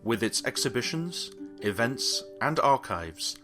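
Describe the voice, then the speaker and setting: A man reading scripted documentary narration in a measured, solemn way. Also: medium pitch, big pitch swings. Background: guitar music.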